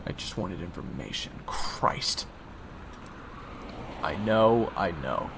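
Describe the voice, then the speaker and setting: A young man in an audio roleplay, talking in low, sardonic murmured asides. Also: animated, slow pace, gravelly, background noise. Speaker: roleplay voice actor playing assassin roommate.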